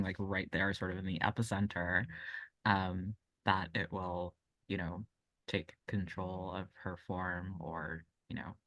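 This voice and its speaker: A man's voice: low voice, a bit bright, no echo, poor audio. A man playing a tabletop game, casually and tentatively talking through his character's choices. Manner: tentative, thinking aloud.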